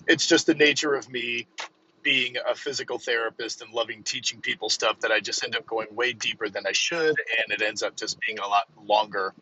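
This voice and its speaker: Bright-voiced man in his fifties, lo-fi podcast. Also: medium pitch. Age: 50s. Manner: rambling, informal, emphatic. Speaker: podcast host and physical therapist coach. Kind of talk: casual solo podcast chat. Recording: dull lo-fi, vehicle noise behind.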